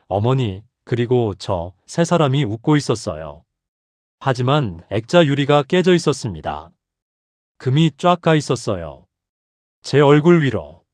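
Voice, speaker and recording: A man narrating a story in the first person, in short, measured and emotional sentences. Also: mid-range voice, darkish tone, no room sound.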